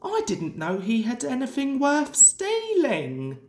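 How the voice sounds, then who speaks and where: Woman doing a calm practical engine driver guy from a kids storybook, slow talker. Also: high-pitched, echoey room, pitched above the performer's own voice, full character voice. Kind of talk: storybook character voice.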